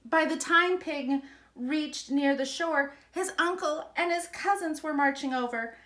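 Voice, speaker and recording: A woman reading a children's storybook aloud in an expressive, emphatic storytelling manner. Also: high voice, a little slow. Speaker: school principal reading aloud.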